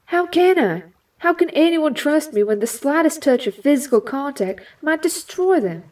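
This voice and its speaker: An actress playing a guarded, hot-tempered young superheroine in an audio drama, with a Southern US accent and a sassy, folksy manner. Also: female voice, high-pitched, animated, normal speed, a little mellow, distant mic. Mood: bitter.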